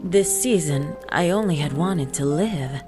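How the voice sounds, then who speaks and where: A woman's voice: sits low, lively intonation, a little slow, music in the background. A woman voicing a sad, humble, bewildered orphan boy in a rhymed storybook poem.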